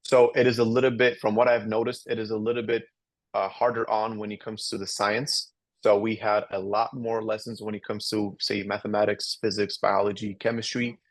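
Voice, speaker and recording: Low-voiced young man from Albania. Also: little pitch movement, a little fast, dry recording. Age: young adult. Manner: rambling, many restarts and fillers. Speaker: podcast guest, incoming law student. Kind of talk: casual interview answers.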